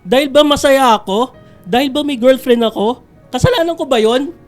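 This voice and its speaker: A high-pitched, easygoing young guy in love, chatty and boyish, in a radio drama. Music runs underneath his voice. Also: moderate pace. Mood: indignant. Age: young adult. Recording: music behind the dialogue.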